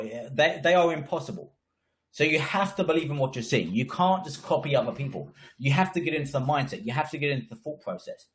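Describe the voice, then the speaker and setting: Guy w/ a cold, bunged up, punchy coaching tone, keeps saying right?. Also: mid-range voice. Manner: emphatic, frequent "right?" tags. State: congested with a cold. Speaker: trading educator. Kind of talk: webinar presentation.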